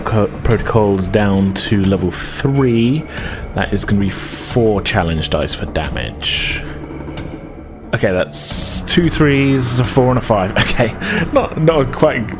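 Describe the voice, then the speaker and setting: A man's voice: mid-range voice, unhurried, over music. A man acting as game master, narrating a tabletop game in an improvised, hesitant, conversational way.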